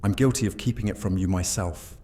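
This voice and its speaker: An actor playing a suave, predatory vampire in an audio drama, speaking in a theatrical, courtly manner. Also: male voice, deep voice, fairly quick, warm tone, slight background noise, lightly acted. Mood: sheepish, exasperated. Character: suave, menacing male vampire hunter, loyal to his mistress.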